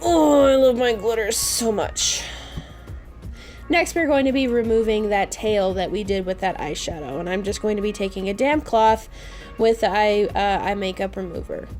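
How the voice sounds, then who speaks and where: A woman's voice: medium pitch, moderate pace, darkish tone, faint music behind. A woman narrating a casual makeup tutorial in a playful, gushing, informal way.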